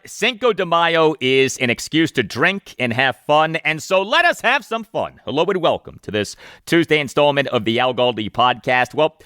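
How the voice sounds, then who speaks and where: A man's voice: mid-range voice, a bit bright, no echo, studio quality. Emphatic American man hosting a sports podcast. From United States. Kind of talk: solo sports podcast monologue, part scripted.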